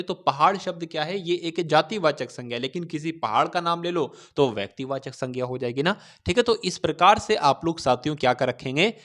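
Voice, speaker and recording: A male coaching teacher with a somewhat high voice. He speaks as if to a class of exam aspirants, posing questions and then explaining the answers. He often turns to the listeners with short check-in phrases. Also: a bit bright. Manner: instructive, repeatedly asks questions and answers them himself; addresses listeners directly with repeated check-in phrases. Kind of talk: Hindi grammar lesson for exam aspirants.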